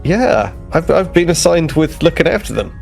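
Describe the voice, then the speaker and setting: A man's voice: fairly high pitch, very animated, normal speed, creaky, darkish tone, soft background music, pitched above the performer's own voice. A man playing a naive, cheerful young starship ensign in a tabletop game, friendly, polite and a little hesitant. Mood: quietly proud.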